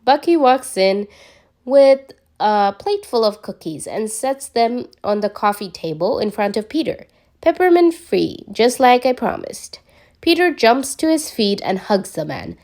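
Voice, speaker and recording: A woman reading fan fiction aloud, narrating and voicing dialogue in an expressive storytelling manner, with the occasional stumble. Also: medium pitch, measured pace. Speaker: podfic reader.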